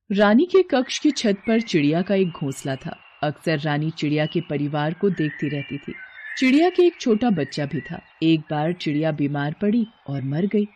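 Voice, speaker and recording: A woman's voice: fairly low pitch, a little mellow. A woman reading scripted fairy-tale narration as the storyteller. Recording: clear background noise.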